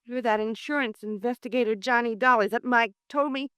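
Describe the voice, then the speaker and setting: A woman's voice: on the high side, a little fast, a bit bright, smooth, dry recording, lightly acted. An actress playing a frightened young woman caught up in a crime in a 1950s radio drama, pleading and panicky. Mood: tense.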